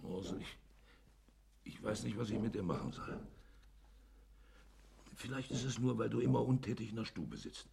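Blustering, evasive shopkeeper, gravelly and animated. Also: male voice, on the low side, normal speed, long pauses, slight background noise. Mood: placating. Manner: repetitive, stammering self-justification. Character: evasive, blustering shopkeeper husband hiding a guilty secret. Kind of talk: German radio drama acting.

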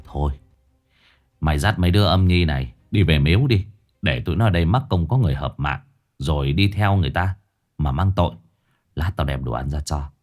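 A man's voice: a bass voice, brisk, darkish tone, soft background music. A man voicing an exasperated, blunt young man who grumbles and scolds in a ghost story. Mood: gruff grumbling.

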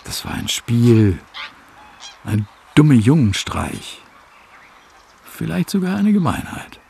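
Radio play lead: reflective, brooding brother, gravelly mid-pitched voice, measured literary delivery. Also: male voice, sing-song, dark tone, a little hiss or hum, heavily acted. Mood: bitter recollection. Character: brooding man searching his brother's past. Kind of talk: radio play narration and dialogue.

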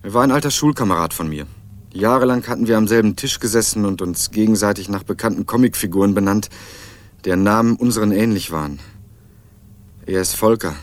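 An actor playing a wry, laconic young student who narrates and speaks in a crime radio play with dry irony. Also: male voice, on the low side, fairly quick, close to an everyday voice. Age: young adult. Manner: dry, ironic. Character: wry, laconic young male student investigating his father's death. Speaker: narrator and protagonist.

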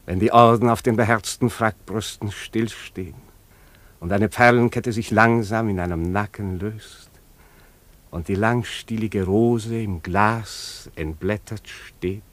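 An actor playing a melancholy, courteous prince in a literary radio play, speaking in a measured, formal, lyrical way. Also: male voice, fairly low pitch, dry recording. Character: melancholy aristocratic prince, courteous, dreamily commanding.

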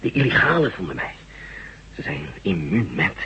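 Wounded, urgent Russian official, sharp-voiced. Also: male voice, low-pitched, big pitch swings, dull audio, heavily acted. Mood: grave, urgent. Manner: halting, labored, pleading. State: weak, wounded, short of breath. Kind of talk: radio drama acting. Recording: radio recording with faint noise.

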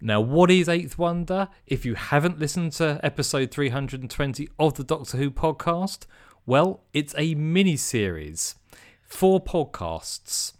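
A man's voice: a little high, big pitch swings, unhurried. A man co-hosting a podcast in upbeat, chatty, playful talk. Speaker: podcast co-host. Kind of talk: casual podcast chat. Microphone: remote call mic.

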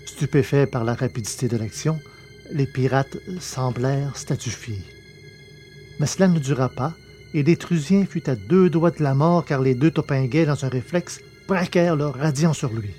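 Mellow-voiced male storyteller. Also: mid-range voice, faint music behind. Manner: measured, dramatic storytelling. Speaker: narrator. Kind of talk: scripted audiobook narration.

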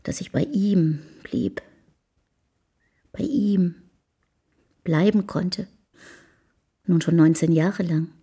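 An actress in a German historical radio drama playing a composed, dutiful common-born companion of a poet, measured and plain-spoken. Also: female voice, fairly low pitch, warm tone. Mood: grateful, reflective.

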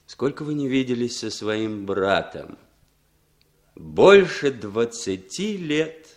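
A scheming, lively-voiced foreigner. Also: male voice, medium pitch, slow. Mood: insinuating. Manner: smooth, insinuating. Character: scheming foreign man setting up a deception. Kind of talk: 1960s radio drama acting.

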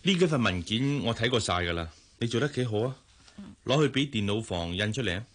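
A man's voice: medium pitch, expressive, a little fast, fairly bright tone, slight background noise, lightly acted. An actor as a hesitant, courteous male boss. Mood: businesslike. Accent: Hong Kong Cantonese. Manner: hesitant, polite. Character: married male boss, courteous, awkwardly flirting with employee. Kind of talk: radio drama acting.

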